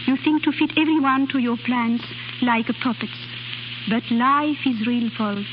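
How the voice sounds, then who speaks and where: An actress in a 1930s radio drama playing a distraught young foreign nightclub singer who passes as a noble ward, impassioned and theatrical. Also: female voice, on the high side, normal speed, natural voice. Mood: pleading, lovelorn. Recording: muffled, crackly 1930s radio broadcast.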